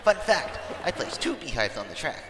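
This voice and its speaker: A manic cartoon pony announcer, high and swooping in pitch. Chirpy and over-excited, the pony stays breezy about the most sinister things. The voice rings with long reverb over music. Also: male voice, moderate pace, noisy background. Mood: cheerfully sinister. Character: manic, cheerful pony race commentator with a casually sinister streak. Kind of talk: cartoon parody race commentary.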